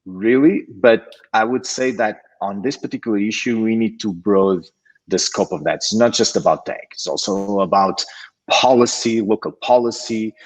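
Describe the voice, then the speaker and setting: A man's voice: fairly low pitch, normal speed, poor audio. A man from Portugal introducing himself as a panelist on an online call, hesitant with many fillers and restarts. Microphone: video call.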